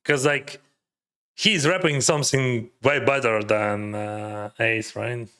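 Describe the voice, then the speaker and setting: Bright-voiced Ukrainian man streaming poker. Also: medium pitch, fairly even intonation, slow, clear, resonant tone. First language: Russian. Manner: chatty, advising, heavy use of "like" and "uh". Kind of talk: casual live-stream commentary, answering chat.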